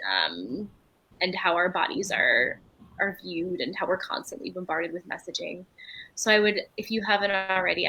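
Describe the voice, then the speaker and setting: A woman from Belgium, a native French speaker, talks in an interview with a bright, clear and forward voice. She answers earnestly and candidly, reflecting as she speaks about her own experience. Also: fairly low pitch, rough recording. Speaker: writer and retreat leader.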